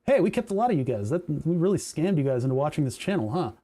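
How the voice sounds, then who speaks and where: A man hosting a casual coding livestream, rambling and chatty with frequent laughs, his voice starting to go hoarse. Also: a little high, big pitch swings, quick pace, mellow. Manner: rambling, chatty, laughs often, reads chat aloud. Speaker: livestream host.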